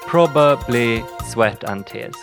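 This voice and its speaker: A male comedian talks casually as a radio guest. His voice is notably creaky and his humour is playful and dry, with faint music behind him. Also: medium pitch, lively intonation, takes it slowly. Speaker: comedian guest promoting a show. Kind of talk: casual radio interview chat.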